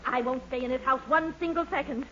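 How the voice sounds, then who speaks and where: An actress playing a prim, indignant, easily scandalized aunt in a 1940s radio sitcom. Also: female voice, sits high, fast talker, crowd noise, natural voice. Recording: muffled, noisy old 1940s radio recording.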